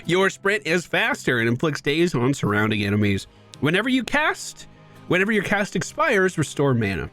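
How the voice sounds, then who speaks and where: Upbeat, high-pitched man doing gameplay commentary. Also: wildly swooping pitch, moderate pace, sharp tone, faint music behind. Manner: upbeat, playful. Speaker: gaming host.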